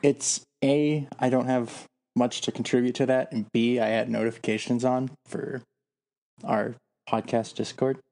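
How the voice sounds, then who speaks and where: A man's voice: medium pitch, flat delivery, a little slow, creaky, a little mellow. A man co-hosting a podcast in casual banter, joking in a dry, self-deprecating way. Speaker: podcast co-host.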